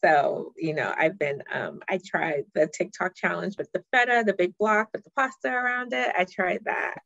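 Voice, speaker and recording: Low-voiced woman, chatty interview guest. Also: normal speed, creaky, fairly bright tone, no echo, highs cut off. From Ghana. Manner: chatty, frequent fillers and restarts. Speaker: marketing director, podcast guest. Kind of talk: casual interview chat.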